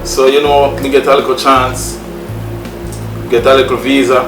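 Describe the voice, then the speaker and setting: A man's voice: medium pitch, level tone, normal speed. Laughing Jamaican man in an echoey room. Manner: laughs often, repeats phrases. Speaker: guest. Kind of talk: casual conversation. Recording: echoey room, distant mic, music.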